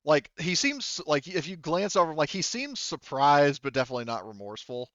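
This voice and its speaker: A man running a tabletop roleplaying game as game master, narrating and refereeing in a halting, think-aloud way. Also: on the high side, lively intonation, a bit bright, no echo, highs cut off.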